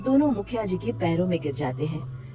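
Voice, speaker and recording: A woman narrating a scripted cartoon tale as an expressive storyteller. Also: fairly low pitch, fairly quick, faint music behind.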